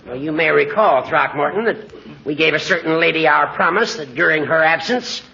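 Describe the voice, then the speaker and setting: A man's voice: on the high side, normal speed, creaky, sharp tone. An American man playing a pompous, crotchety old judge in a 1940s radio comedy. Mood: stiff, indignant. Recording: muffled, noisy 1940s radio recording.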